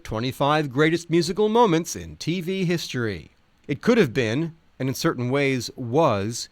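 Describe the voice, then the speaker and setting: A man narrates a written nonfiction piece in a medium-pitched voice. His delivery is articulate and wry, relishing wordy sentences. The recording is dry, free of room echo. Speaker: narrator reading from a nonfiction book. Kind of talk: scripted narration, audiobook-style reading.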